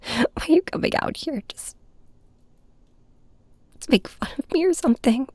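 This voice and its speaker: A woman playing a heartbroken young superhero in an intimate audio drama, speaking softly and haltingly with a breathy voice. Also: sits high, lively intonation, no room sound, voice pushed up. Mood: upset, tearful. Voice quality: soft, breathy. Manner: hesitant, halting, hushed. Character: heartbroken young flying superhero confiding in and snapping at nemesis. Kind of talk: first-person audio drama narration, ASMR hybrid.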